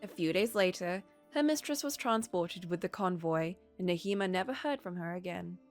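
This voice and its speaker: A woman's voice: on the low side, expressive, moderate pace, soft background music. Woman narrating a story aloud. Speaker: live streamer reading a story aloud.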